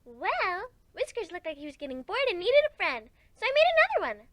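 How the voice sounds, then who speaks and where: A woman's voice: extremely high pitch, huge pitch swings, a little fast, a bit bright, heavily acted. A woman voicing a bubbly, playful, girlish cartoon pegasus in a fan fiction reading, with a perky, cutesy manner. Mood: cheerful, explaining.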